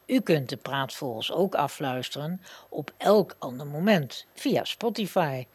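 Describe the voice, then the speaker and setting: A woman's voice: low voice, animated, a little slow, a little mellow, slightly rough, dry recording. A woman reading scripted closing announcements as the presenter of a radio play series.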